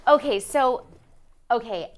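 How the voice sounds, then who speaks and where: A woman's voice: fairly high pitch, slow, slight background noise. A woman chatting casually on a talk show in an informal, emphatic way.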